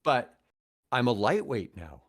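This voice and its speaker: Podcast guest: older American man, casual rambling storyteller with an average-pitched voice. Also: very animated, a little slow. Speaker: podcast guest, retired radio host. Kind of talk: casual anecdotal podcast chat.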